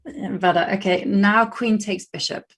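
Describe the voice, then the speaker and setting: A woman's voice: medium pitch, steady tone, unhurried. British lady chatting on a chess stream, rambly, lots of ums and restarts. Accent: British English. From Britain. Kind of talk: casual livestream chat while playing chess.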